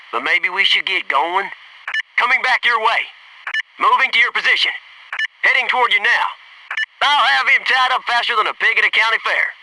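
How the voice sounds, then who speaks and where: An actor playing a folksy, dutiful Navy SEAL commando, giving short, clipped military callouts in a video game. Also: male voice, high voice, distant mic, background noise. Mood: wry, bored, then folksy, confident.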